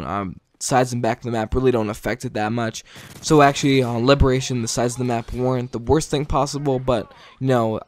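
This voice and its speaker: A man's voice: mid-range voice, a little mellow. Tired man stammering through game commentary. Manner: stutters, many ums and likes. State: tired, late at night. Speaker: gaming video commentator. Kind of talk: casual gameplay commentary.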